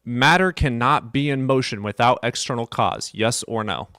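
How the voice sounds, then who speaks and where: A man's voice: mid-range voice, normal speed, sharp tone, no room sound, studio quality. A man hosting a live stream debate, blunt and impatient, cutting in on callers. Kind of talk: combative debate.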